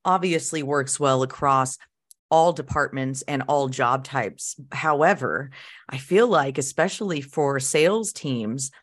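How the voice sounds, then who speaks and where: Female podcast host, notably low pitch, conversational corporate interviewing. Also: unhurried.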